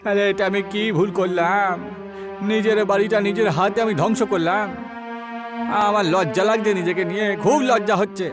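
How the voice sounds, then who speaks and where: A man's voice: high-pitched, fairly even intonation, a little fast, lightly acted. A man voicing a poor, timid village man in a cartoon, with a plaintive, questioning manner. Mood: remorseful, tearful. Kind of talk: cartoon dialogue. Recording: music behind the voice. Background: music.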